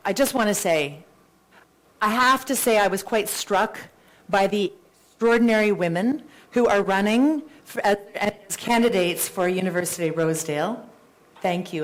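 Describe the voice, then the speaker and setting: A woman's voice: medium pitch, a little hiss or hum. A Canadian woman moderating a live candidates' debate, chatty, with playful self-deprecating asides and a big voice. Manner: says she has a really big voice.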